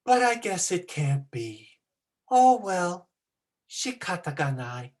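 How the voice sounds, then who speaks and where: A drama teacher voicing a wistful, resigned old male woodcutter in short, expressive phrases. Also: mid-range voice, slow, voice pushed up.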